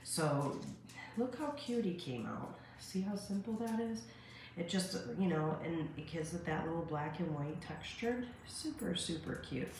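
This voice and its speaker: A woman in her forties, stuffed up, talks through a craft demo in a relaxed, rambling way, full of "you know" and "um". Her voice sits a little low. It is recorded on a phone at a kitchen counter, so the room rings with heavy echo over a faint background hum. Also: unhurried. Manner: chatty, filler-heavy, friendly how-to talk. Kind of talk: casual craft tutorial. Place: home kitchen, indoors. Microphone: phone camera on a stand at the counter. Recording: echoey kitchen with faint ambient noise.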